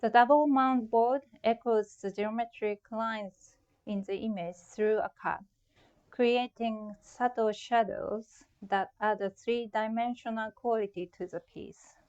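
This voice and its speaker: Japanese-accented woman, middle-aged, calm prepared artist talk, slightly muffled recording. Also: fairly high pitch, unhurried, smooth.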